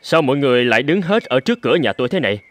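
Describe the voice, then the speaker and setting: A man's voice: medium pitch, very animated, racing, dry recording, natural voice. Man acting a humble, courteous folk hero. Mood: modest.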